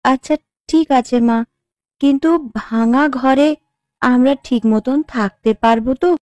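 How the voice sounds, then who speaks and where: A woman's voice: high voice, measured pace, darkish tone, dry recording, studio quality. A woman voicing a dutiful, polite young village bride in a cartoon, deferential in manner. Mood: worried. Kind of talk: Bengali cartoon voice acting.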